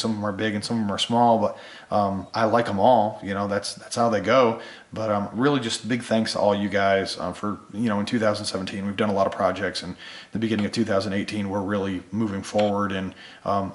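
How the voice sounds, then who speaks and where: A man whose voice is worn from being sick, chatting casually and appreciatively to his video subscribers in a rambling way as a small business owner. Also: fairly low pitch. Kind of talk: casual vlog talk to subscribers. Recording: echoey room.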